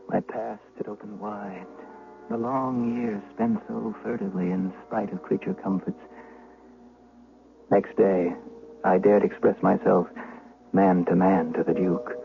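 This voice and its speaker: An actor playing a grave, conscience-stricken older servant of a Duke, speaking formally and solemnly in a radio drama. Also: male voice, sits low, creaky. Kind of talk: 1970s radio drama acting and dramatic first-person narration. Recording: tinny, noisy old radio broadcast.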